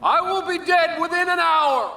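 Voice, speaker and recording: An actor playing a fatalistic time-traveller, slowly and grandly proclaiming his own death to a public gathering in a radio drama. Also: very high voice, vocal fry, pushed and bright, slight background noise, exaggerated voice. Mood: exalted, resigned. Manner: declamatory, slow, exalted. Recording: echoing public-address hall sound.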